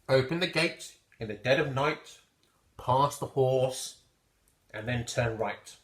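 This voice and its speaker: A man's voice: medium pitch, slow, fairly bright tone, reverberant, low-quality recording. A man voicing a cunning, scheming thief in a dramatic children's storybook reading. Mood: cunning, plotting. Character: scheming male thief plotting to steal a cow.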